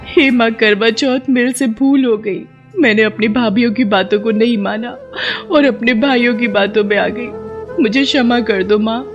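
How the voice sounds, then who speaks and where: A woman's voice: fairly high pitch, steady tone, normal speed, highs cut off, lightly acted. Woman acting earnest young devout wife, music behind. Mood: remorseful, pleading. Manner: earnest, emotive. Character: devout, stubborn, tender young married woman in folktale.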